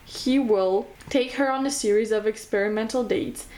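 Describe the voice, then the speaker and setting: A woman's voice: a little high, moderate pace, darkish tone, rough recording. A woman with a French accent, a native French speaker, casually recommending books and reading back-cover blurbs aloud in an enthusiastic, chatty way. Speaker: book vlogger.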